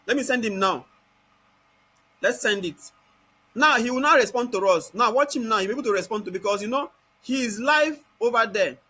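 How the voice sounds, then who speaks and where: A Nigerian-accented man giving an informal step-by-step software tutorial, constantly asking rhetorical questions and answering them himself. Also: very high-pitched, animated, fairly quick, slightly rough.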